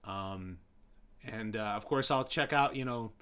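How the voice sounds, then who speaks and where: A young man hosting a casual live stream, rambling with many fillers and restarts as he answers viewers, his voice getting hoarse. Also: lowish voice, measured pace, bright, forceful tone. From New York City.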